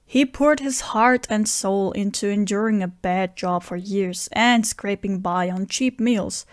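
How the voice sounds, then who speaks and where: A woman's voice: mid-range voice, no echo, studio quality, close to an everyday voice. A woman playing an earnest, scheming friend who narrates an audio drama tale. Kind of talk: audio drama dialogue and first-person narration.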